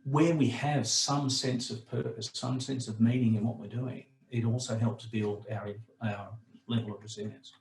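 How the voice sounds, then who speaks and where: A man with a slightly croaky voice presenting a training webinar in a relaxed, conversational way with frequent fillers. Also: mid-range voice, normal speed, reverberant, slight background noise, low-quality recording.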